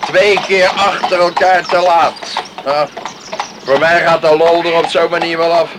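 A man's voice: fairly high pitch, flat delivery, measured pace, close to an everyday voice. An actor playing a grumbling, scornful island lifeboatman in a radio play, full of muttered interjections. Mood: disgruntled. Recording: noisy radio play recording, horse and outdoor effects.

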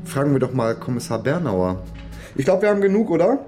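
An actor playing a naive-seeming, quietly sly amateur sleuth in a German radio crime drama, talking in a halting, colloquial way. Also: male voice, medium pitch, very animated, faint music behind, close to an everyday voice. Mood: mock-innocent. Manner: colloquial, halting, mock-casual.

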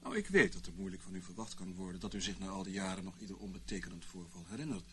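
A man's voice: deep voice, quick pace, natural voice. A man playing a politely needling, ironic interrogator who is in charge, in a Dutch radio play. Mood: probing. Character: probing, sardonic male interrogator, politely in charge. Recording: noisy old radio play recording.

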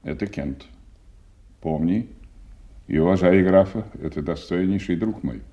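An actor playing a worldly, jovial middle-aged nobleman in an audio play, wry, unhurried and courtly. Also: sits low, warm tone, muffled recording. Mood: wry, genial. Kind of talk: theatrical audio play acting.

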